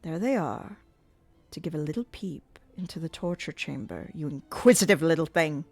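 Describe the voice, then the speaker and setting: A woman voicing a jealous, cruel man who speaks in a low, mocking and menacing voice, in a dry audiobook recording with faint music. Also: lively intonation, normal speed, creaky, a little mellow, breathy edge, no echo, exaggerated voice. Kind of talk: audiobook character voice.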